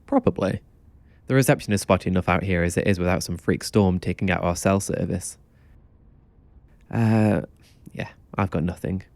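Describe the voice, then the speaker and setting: A man's voice: sits low, moderate pace, creaky, long pauses, darkish tone, dry recording. A man playing a laid-back young motel clerk in an audio drama, offhand but kindly.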